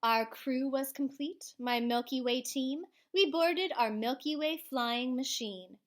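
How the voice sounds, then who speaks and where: A woman reading a children's storybook aloud expressively, like a school librarian, with theatrical swells and hushed drops. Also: high voice, slow.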